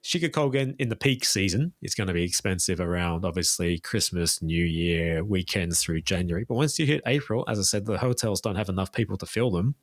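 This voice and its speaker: A man's voice: low voice, a little fast, few pauses, dry recording, studio quality. A man who works as a tour guide, talking as a guest in a casual interview and giving detailed, enthusiastic recommendations.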